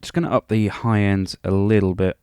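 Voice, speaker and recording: A man's voice: on the low side, steady tone, brisk, darkish tone, smooth, dry recording, clean studio sound. A man giving an informal music production tutorial in a rambling, chatty and self-deprecating way. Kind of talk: informal software tutorial narration.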